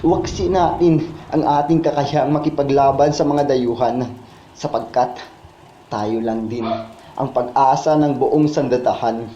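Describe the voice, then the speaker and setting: A man's voice: mid-range voice, fairly even intonation, a little slow, dark tone. A man with a Filipino accent reciting spoken word poetry in a solemn, impassioned, declamatory manner. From Philippines. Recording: muffled, echoey, with background noise.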